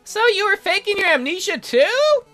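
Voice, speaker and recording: A man's voice: very high voice, very animated, normal speed, bright, forceful tone, faint music behind, voice pushed up, exaggerated voice. A man voicing a timid, devoted servant who stammers and pleads in distress. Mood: hurt, pleading.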